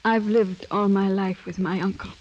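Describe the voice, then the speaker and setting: A woman's voice: mid-range voice, steady tone, normal speed, a little mellow, highs cut off, close to an everyday voice. An actress playing a proud, anguished young noblewoman in a mid-century radio drama, earnest and melodramatic. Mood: subdued sorrow.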